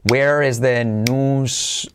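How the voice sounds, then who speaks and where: A man teaching English pronunciation, drilling phrases slowly and over again with playful asides. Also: medium pitch, steady tone, slight background noise. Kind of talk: lively pronunciation lesson.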